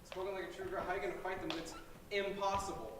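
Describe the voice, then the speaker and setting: A man's voice: sits high, level tone, rapid-fire, natural voice. Casting: man playing dismissive, smug instructor in a stage comedy, recorded far off in a noisy hall. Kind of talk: comedic stage play acting. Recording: echoey hall, distant mic, clear noise.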